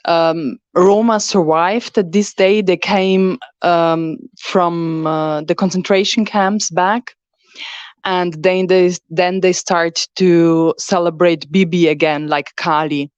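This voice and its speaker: A woman's voice: on the low side, fairly even intonation, slow, smooth, dry recording, lo-fi sound. A woman, a filmmaker answering at a festival Q&A, hesitant and full of fillers. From Serbia. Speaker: filmmaker on a panel.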